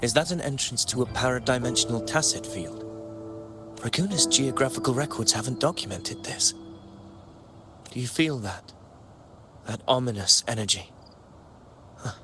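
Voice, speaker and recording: A proud male warrior from a video game, voiced by an actor, speaking in formal, noble phrases with a solemn resolve. His voice sits at a middle pitch over loud music. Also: moderate pace, long pauses, close to an everyday voice. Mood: steadfast. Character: proud, courtly male warrior seeking to write his own destiny. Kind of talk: scripted video game voice acting.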